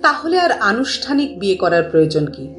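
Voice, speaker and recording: Dutiful young man in an audio story, played by a female narrator; earnest, lively intonation, a little distant over music. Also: mid-range voice, fairly quick. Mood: eager, decisive. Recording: roomy, distant mic, music bed.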